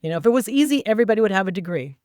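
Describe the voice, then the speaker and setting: Woman, non-native English speaker, podcast guest, animated storyteller, smooth, dry close sound. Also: medium pitch, racing, no echo. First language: non-English first language. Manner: animated storytelling with quoted asides. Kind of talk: conversational interview.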